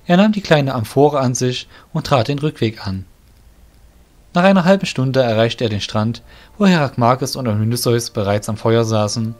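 A man narrating an audio drama in a steady, measured way. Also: medium pitch.

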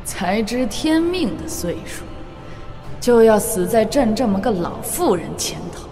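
Old empress of a wuxia kingdom: regal, shrewd, commanding; reverberant hall, music underneath. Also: female voice, a little high, very animated, a little slow. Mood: cold, mocking. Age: older. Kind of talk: Chinese audio drama acting. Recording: long reverb, music behind.

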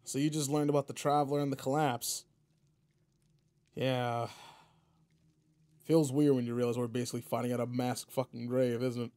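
A man's voice: mid-range voice, little pitch movement, normal speed, long pauses, mellow, close to an everyday voice. A man playing a seasoned but laid-back space guardian mentor in a video game roleplay, casual, slangy and wry as he talks to a partner. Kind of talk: video game roleplay audio, in-character monologue.

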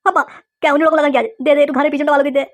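A woman's voice: high-pitched, fairly even intonation, racing, close to an everyday voice. A woman voicing a lazy, whiny schoolboy rascal in a comic cartoon, pleading and full of exclamations. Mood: demanding, content.

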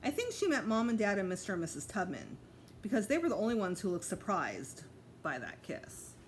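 A woman's voice: medium pitch, brisk, slight background noise. A middle-aged woman reads a children's storybook aloud with care and expression, like a teacher to pupils. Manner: careful, expressive reading with voiced dialogue.

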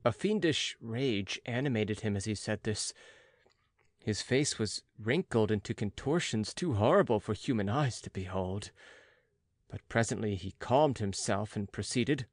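A man reading literary narration as an audiobook narrator, measured and dramatic. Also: fairly low pitch, animated, no echo, studio quality.

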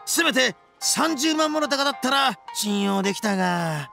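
Brash, gravelly, high-pitched oni boss. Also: male voice, animated, moderate pace, bright, forceful tone, faint music behind, exaggerated voice. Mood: baffled, sceptical. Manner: cocky, rough slangy speech. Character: brash, dim, boastful young male oni gang boss. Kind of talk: video game voice acting.

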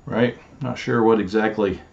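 A man's voice: on the low side, steady tone, moderate pace, creaky, a little mellow, dull audio. Rambling man showing off old guns. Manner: rambling, many fillers, talks while handling items. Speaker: storage unit reseller. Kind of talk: casual show-and-tell vlog. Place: small home office, indoors.

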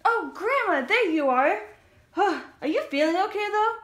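A flippant teenage fairy-tale girl played by a grown woman, high, bright and whiny. Every line is exaggerated puppet-show drama, in a roomy recording. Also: animated, moderate pace. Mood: chirpy. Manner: exaggerated, whiny teen delivery.

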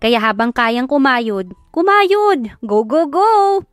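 A chirpy, hardworking young Filipina, acted out by a woman narrator in an audio love drama. The voice is raised and energetic, recorded dry over music. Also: high-pitched, takes it slowly, dry recording, voice pushed up. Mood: upbeat, determined. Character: bubbly, hardworking young woman mascot performer. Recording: background music under the voice.

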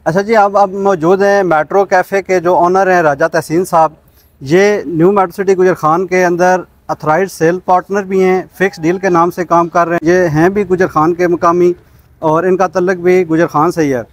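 A Pakistani man presents a promotional vlog in a fairly high voice. He is polite and salesy and drops English words into his speech. The sound is dry and close, from a lapel mic. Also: steady tone, moderate pace, no echo, faint music behind. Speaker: host of a property channel. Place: outdoors in front of a small cafe storefront.

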